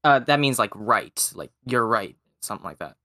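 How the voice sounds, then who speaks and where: A young man whose first language is Cantonese, chatting casually and hesitantly, often pausing to search for words. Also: lowish voice, animated, bright, forceful tone, no echo, studio quality. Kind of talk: casual chat.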